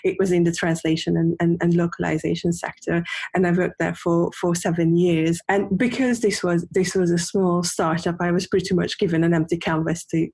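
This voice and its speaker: A woman from Hungary, a podcast guest, answering thoughtfully in a halting way with many repeated words. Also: fairly low pitch, a little mellow, slightly rough. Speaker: guest, UX designer. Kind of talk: casual interview answers.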